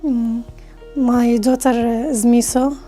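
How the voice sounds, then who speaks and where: A Syrian woman interviewed in a TV report, careful and slow in English, her first language Arabic. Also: a little high, little pitch movement, mellow. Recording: TV report with music underneath.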